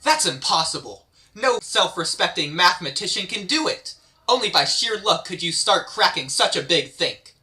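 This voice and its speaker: A woman's voice: lowish voice, expressive, normal speed, pushed and bright. A woman playing a skeptical, puzzled questioner in an amateur fantasy table read, with an incredulous manner.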